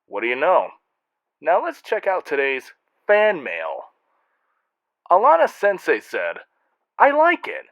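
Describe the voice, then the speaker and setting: A man's voice: a little high, huge pitch swings, slow pace, pauses often, a bit bright, no room sound. Wry male host with swooping, theatrical delivery. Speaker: host and narrator reading fan mail. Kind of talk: scripted comic hosting.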